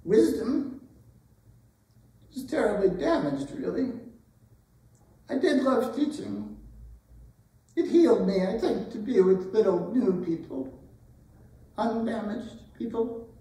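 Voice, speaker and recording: An older woman playing a gentle, wistful retired schoolteacher in a dramatic stage reading, musing and hesitant. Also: mid-range voice, takes it slowly, long pauses, close to an everyday voice. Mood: reflective. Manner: hesitant, musing, tender, asking questions. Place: indoor arts center stage. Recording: roomy, distant stage recording.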